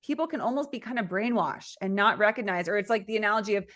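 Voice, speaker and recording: A woman hosting a podcast, asking gentle and encouraging interview questions in a casual chat. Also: medium pitch, expressive, quick pace. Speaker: podcast host.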